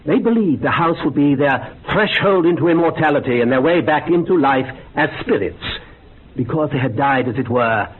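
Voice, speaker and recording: A male actor plays an eager male investigator of haunted places in a radio horror play. His fairly high voice rises and falls widely as he savours every grisly detail theatrically. The recording is narrow and phone-like. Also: lively intonation, moderate pace, close to an everyday voice. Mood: macabre relish. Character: eager male ghost investigator, macabre storyteller and guide. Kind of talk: radio horror drama acting. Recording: thin phone-like radio recording, faint noise.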